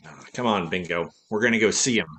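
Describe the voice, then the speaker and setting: An actor playing a quick-witted, take-charge private detective in a radio play. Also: male voice, on the low side, expressive, gravelly, sharp tone, full character voice. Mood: brisk, decisive.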